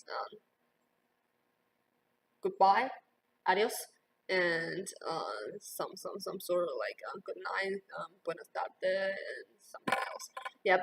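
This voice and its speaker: Slow, hesitant young Taiwanese-raised woman. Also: fairly low pitch, fairly bright tone, low-quality recording. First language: Mandarin Chinese. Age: 20s. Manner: hesitant, many fillers like "um" and "like". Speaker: interviewee, university student. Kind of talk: casual interview answers.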